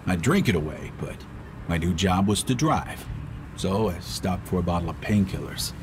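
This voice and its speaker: An actor playing a gruff, weary older hired driver who narrates tersely in film noir style. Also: male voice, low voice, expressive, moderate pace, background noise. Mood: weary, matter-of-fact. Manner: hard-boiled, terse, profane. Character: gruff, weary old hired driver, world-worn tough guy.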